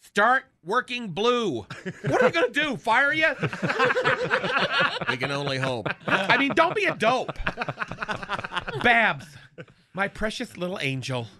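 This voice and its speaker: A man's voice: high voice, bright, forceful tone. Sarcastic male radio host roasting cohosts. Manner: sarcastic, teasing. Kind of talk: comic roast monologue. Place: radio studio with laughing cohosts.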